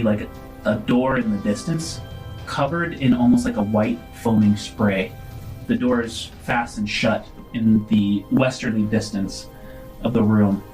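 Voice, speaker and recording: Male game master narrating over soft music. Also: fairly low pitch. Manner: descriptive, dramatic storytelling. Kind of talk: improvised narration of a tabletop game.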